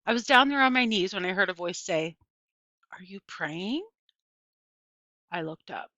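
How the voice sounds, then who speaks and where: A woman's voice: medium pitch, expressive, fast talker, bright, forceful tone, no room sound. A woman reading a first-person children's novel aloud as scripted narration. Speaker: audiobook reader. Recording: dull, lo-fi, dry close recording.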